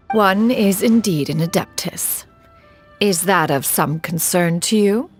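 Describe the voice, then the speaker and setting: A woman's voice: medium pitch, lively intonation, slow pace, over music. A woman voicing a haughty, dignified immortal crane spirit in a video game, formal, aloof and pompous.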